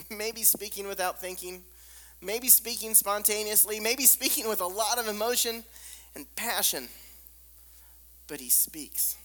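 Squeaky voiced guy preaching, repeats lines for punch, goes hushed, long hall echo. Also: a little slow, long pauses. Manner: emphatic repetition, drops to soft near-whispers. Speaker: pastor. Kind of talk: sermon. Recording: long reverb of a large hall.

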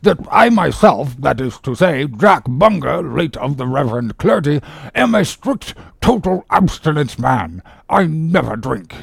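A solemn, self-important old-time ship's surgeon as a male narrator plays him, pitched high and swinging in tone. He speaks with deliberate, formal gravity and a dry wit, as in a seafaring storybook. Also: very animated, gravelly, breathy edge, dry recording, heavily acted. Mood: cool, self-important. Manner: imperturbable, formal, long-winded, deliberate. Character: pompous, dryly joking English ship's surgeon, solemn rogue.

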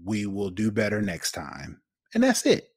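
A man's voice: lowish voice, wildly swooping pitch, measured pace, no echo, clean studio sound. A man co-hosting a podcast, giving casual, opinionated commentary bluntly and emphatically, repeating phrases for effect. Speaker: podcast co-host.

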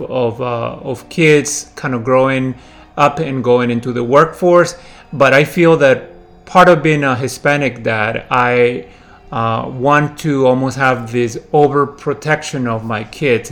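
A man in his forties from Peru, hosting a podcast in a reflective, halting way with many fillers and restarts. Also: mid-range voice, a bit bright. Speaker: podcast host. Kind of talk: casual solo podcast monologue.

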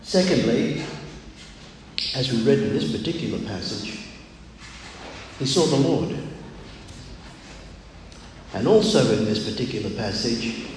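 Casting: older man, loud-voiced preacher, very slow deliberate delivery, heavily reverberant hall recording. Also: medium pitch, expressive. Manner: measured, deliberate, with frequent pauses. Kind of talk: sermon. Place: large echoey room, speaking to a congregation. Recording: very echoey hall, clear background noise.

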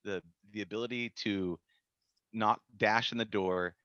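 A man hosting an interview, asking questions in a measured, reflective way. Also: lowish voice, fairly even intonation, fairly bright tone, no echo. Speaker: podcast host. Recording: dull dry sound.

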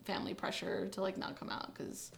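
A young woman speaking as an interview guest in a relaxed, chatty way, full of "like" and quick laughs. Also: mid-range voice, vocal fry. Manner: chatty, many fillers, laughs when uncomfortable. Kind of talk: casual interview chat.

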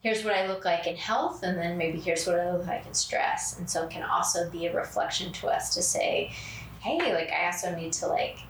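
Lady podcast host, lowish soothing voice, lots of likes and ums, a bit far from the mic in an echoey room. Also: normal speed. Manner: soothing, even cadence with many fillers. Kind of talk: casual podcast conversation. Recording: roomy, distant mic, faint noise.

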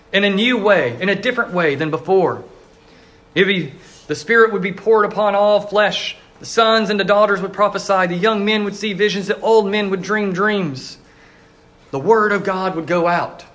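A man with a notably high voice preaches a sermon as a pastor. He tells the story earnestly and slips into quick voiced asides for the crowd. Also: fairly bright tone.

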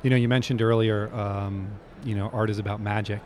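A man's voice: fairly low pitch, fairly even intonation, brisk, a little hiss or hum. A man who is an artist, interviewing a guest with loose, rambling questions full of fillers. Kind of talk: casual interview.

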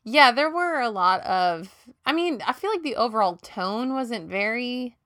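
A woman's voice: a little high, expressive, a little slow, fairly bright tone, no room sound, clean studio sound. A woman co-hosting a podcast in casual chat, chatty and laughing often, coming down with a cold. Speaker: podcast co-host.

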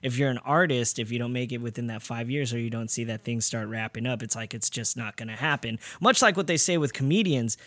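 A man hosting a podcast interview, chatting casually in a slangy, excitable, informal bantering way. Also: mid-range voice, a little fast, few pauses, no room sound. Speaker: podcast host.

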